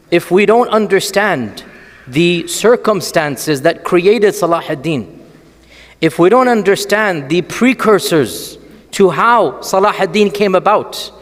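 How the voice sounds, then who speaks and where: A man giving an animated, storytelling religious lecture and often asking the audience rhetorical questions. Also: high voice, in a large hall. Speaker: Islamic lecturer.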